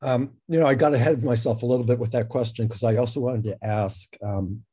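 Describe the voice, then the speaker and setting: Husky, very mellow male moderator. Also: medium pitch, fairly even intonation, fairly quick. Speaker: moderator and interviewer, a filmmaker. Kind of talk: conversational interviewing.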